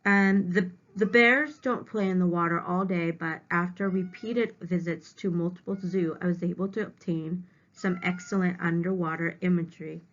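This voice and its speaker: A woman's voice: fairly low pitch, fairly even intonation, moderate pace, hardly any pauses. A woman reading aloud as a teacher, stopping to explain words simply to young students. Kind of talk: read-aloud lesson with simple word explanations. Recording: dull, lo-fi home recording.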